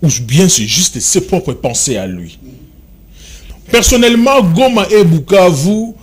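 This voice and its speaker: A man's voice: sits high, big pitch swings, a little slow, slightly rough. A man from DR Congo with a Congolese accent, debating politics in an insistent, explanatory way and repeating phrases.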